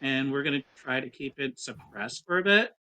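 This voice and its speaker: A man with a bright, crisp-edged voice at an average pitch. He talks casually and without a script, as a participant in a tabletop game. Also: normal speed.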